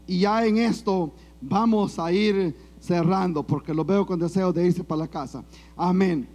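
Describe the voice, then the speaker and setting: A male preacher with a Salvadoran accent delivers a sermon to his congregation. His voice sits high and he takes his time, stretching out phrases. He sprinkles his message with amens and glory to God. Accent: Salvadoran Spanish. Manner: preaching with frequent amens and call-and-response. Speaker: pastor. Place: church.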